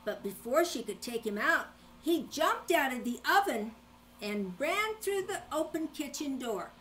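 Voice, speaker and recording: An older woman, a grandmother, reading a children's story aloud in an animated style. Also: high-pitched, measured pace, slight background noise.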